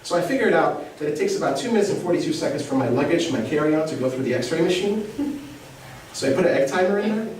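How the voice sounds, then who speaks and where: A man's voice: fairly high pitch, expressive, fast, a little mellow, slight background noise. Egyptian-born man doing stand-up in an echoey club. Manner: self-deprecating, conversational, asks the crowd questions. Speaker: stand-up comedian. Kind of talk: stand-up comedy set. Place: comedy club stage. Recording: echoey club from far off with crowd laughter.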